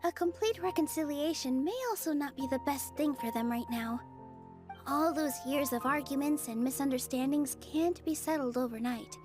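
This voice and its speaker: A woman voicing a gentle, encouraging young dancer in a video game, speaking sweetly and sincerely. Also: sits high, normal speed, natural voice. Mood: thoughtful. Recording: music faintly behind.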